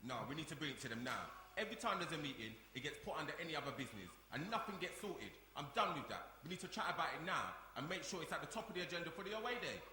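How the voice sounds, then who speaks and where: Actor as fast, defensive young man, echoey hall. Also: a little high, very animated, bright, forceful tone, full character voice. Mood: impatient. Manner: urgent, repeats himself. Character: impatient, defensive young man at a youth centre. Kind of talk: audio drama performed before a live audience. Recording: echoey hall, background noise.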